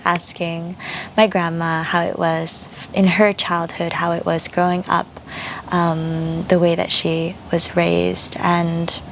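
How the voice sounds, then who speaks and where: A young woman from Japan, speaking in a soft, reflective way with frequent pauses and fillers. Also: on the low side, vocal fry, a little hiss or hum, low-quality recording. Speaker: vlogger. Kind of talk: reflective personal voice-over.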